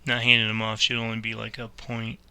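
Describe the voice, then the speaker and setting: A man's voice: fairly low pitch, completely flat delivery, fairly quick, pushed and bright, clear, resonant tone. A man playing air traffic controller in a simulator, giving procedural instructions and commenting on his own game. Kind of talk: simulator gameplay commentary and radio phraseology.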